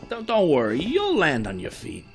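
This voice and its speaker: An actor playing a talkative, world-weary security guard in an audio drama, with a folksy, plain-spoken manner. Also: male voice, fairly high pitch, wildly swooping pitch, moderate pace, creaky, faint music behind, full character voice. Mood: apologetic. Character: world-weary, talkative male night-shift department store security guard.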